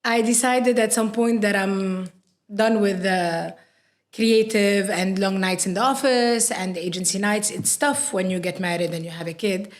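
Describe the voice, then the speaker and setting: A woman from Lebanon, a podcast guest telling animated stories and laughing easily. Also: mid-range voice, a little slow. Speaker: guest, radio sales professional. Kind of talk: podcast conversation.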